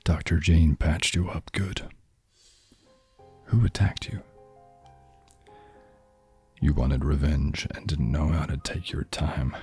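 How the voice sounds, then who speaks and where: A man plays a cynical, hard-boiled noir detective who murmurs slowly in a very deep, husky voice. His brooding half-whisper drifts along unhurried. Soft music plays underneath. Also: exaggerated voice. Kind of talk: ASMR noir audio drama narration.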